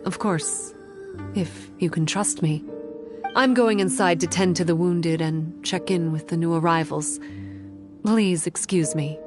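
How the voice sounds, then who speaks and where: Woman playing a low-voiced, composed shrine maiden. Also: a little slow, lightly acted. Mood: calm, polite. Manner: polite, composed, formal. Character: composed, polite shrine maiden healer, duty-bound. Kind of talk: video game character voice acting. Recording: background music.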